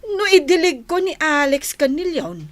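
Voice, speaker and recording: A woman's voice: high voice, animated. A woman with an Ilocano accent playing a giggly, mocking younger sister and discontented wife in a radio drama. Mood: mocking amusement. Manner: giggling, teasing, drawn-out delivery. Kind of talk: Filipino radio drama acting.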